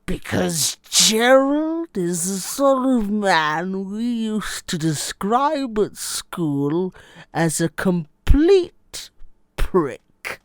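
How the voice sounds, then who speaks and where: An actor plays a caustic man delivering a scornful comic wedding toast. He takes his time and stretches key words, his pitch swinging widely. The recording is close and dry. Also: very animated, no room sound, heavily acted. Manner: deliberate, scornful, with drawn-out emphatic words. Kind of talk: theatrical comic monologue.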